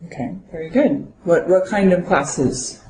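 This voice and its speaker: A woman acting as an interview officer, asking brisk, clear questions and giving short approvals. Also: fairly low pitch, darkish tone, slight background noise. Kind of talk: scripted mock interview questioning. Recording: roomy echo with faint ambience.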